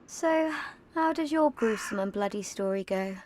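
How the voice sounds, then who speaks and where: A woman's voice: mid-range voice, animated, moderate pace, darkish tone, dry recording, natural voice. An actress playing a young orphan girl in a video game, earnest, plaintive and stubbornly moralizing. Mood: earnest, curious.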